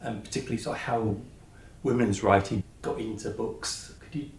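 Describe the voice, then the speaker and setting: A man's voice: lowish voice, steady tone, normal speed. Hesitant man in an echoey room. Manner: hesitant, musing. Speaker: podcast interviewer. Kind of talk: conversational interview questions. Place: indoors at a library, away from studio. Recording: roomy, echoing, noisy location recording.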